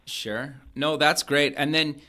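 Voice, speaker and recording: A man's voice: on the high side, steady tone, takes it slowly, sharp tone. A man hosts an interview podcast and welcomes his guest in a plain, everyday voice. He asks hesitant questions padded with "um" and "kind of". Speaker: podcast host. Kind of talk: interview host.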